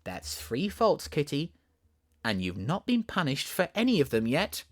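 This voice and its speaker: A man's voice: on the high side, huge pitch swings, measured pace, exaggerated voice. A man voicing a playful young storybook girl, chattering and mock-scolding her kitten with affection. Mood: mock stern.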